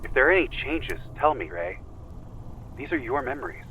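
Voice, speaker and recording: A man playing a calm, insistent guide who leads someone through memories in a slow, soothing, probing way in an audio drama. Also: medium pitch, phone-quality sound, a little hiss or hum, lightly acted. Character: calm, insistent male memory-regression guide named Carlos.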